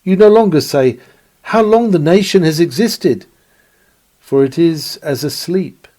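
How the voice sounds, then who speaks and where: A man's voice: on the high side, lively intonation, a little slow, mellow. A man reading an old-fashioned sermon aloud in an earnest, oratorical manner with formal, dramatic phrasing. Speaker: sermon reader, preacher. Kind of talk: dramatic read-aloud 19th-century sermon.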